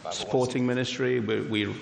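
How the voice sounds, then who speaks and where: Soft-spoken Englishman in an echoey hall. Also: lowish voice, fairly even intonation, a little fast, vocal fry, a little hiss or hum. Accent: English, mixed with American. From England. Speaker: guest speaker, video ministry leader. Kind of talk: church stage interview. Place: church hall stage. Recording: echoey hall with long reverb.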